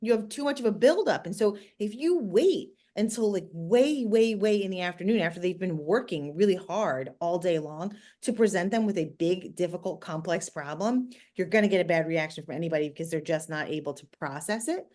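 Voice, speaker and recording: Female podcast guest, Jersey accent, slightly low voice, conversational expert explainer with an emphatic, direct delivery. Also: expressive, fairly quick, few pauses, warm tone. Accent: New Jersey. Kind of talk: conversational advice in an interview.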